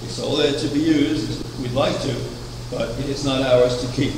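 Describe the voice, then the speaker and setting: An older man preaching a sermon from a pulpit, measured and anecdotal, asking rhetorical questions. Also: medium pitch, steady tone. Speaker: preacher, founder of a religious order. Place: chapel, at a wooden pulpit. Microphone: far camera. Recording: echoey chapel from far off, air conditioning hum.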